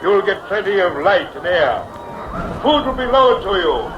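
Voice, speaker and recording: A man's voice: sits high, big pitch swings, normal speed, noisy background. An actor playing a pushy carnival showman hypnotist with theatrical, declamatory patter, in the style of mid-century radio drama. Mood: coaxing. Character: showman hypnotist professor, pushy, smooth-talking carnival barker. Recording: dull vintage radio recording, music behind.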